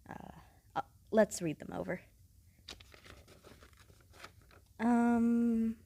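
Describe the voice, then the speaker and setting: A young woman playing a blunt, guarded, socially awkward studious schoolgirl in an audio roleplay, with a halting, matter-of-fact manner. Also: mid-range voice, warm tone, dry recording, lightly acted. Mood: businesslike, hesitant. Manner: halting, hesitant, matter-of-fact, formal wording. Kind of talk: scripted audio roleplay, addressing the listener directly.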